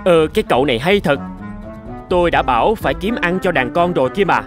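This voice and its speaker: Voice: medium pitch, lively intonation, over music, close to an everyday voice. An actor as a busy, irritated cartoon rooster. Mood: annoyed. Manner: brisk, scolding, dismissive. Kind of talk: Vietnamese animated fable dialogue.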